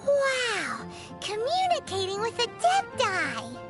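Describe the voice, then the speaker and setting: A tiny fairy sidekick from a cartoonish video game. The voice is extremely high, childlike and squeaky, swinging up and down in animated exclamations. It comes across naive yet bossy, with music playing underneath. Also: female voice, slow pace, full character voice. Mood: awestruck. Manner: exclamatory, refers to self in third person. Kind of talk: scripted game dialogue, cartoon acting.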